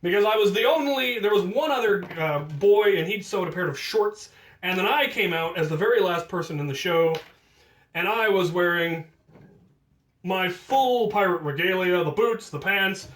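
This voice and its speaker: An American man casually telling stories from his own life, with playful self-deprecation and drawn-out emphasis on key words. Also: high-pitched, a bit bright. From United States. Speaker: storyteller, host of a hobby channel. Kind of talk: casual autobiographical storytelling.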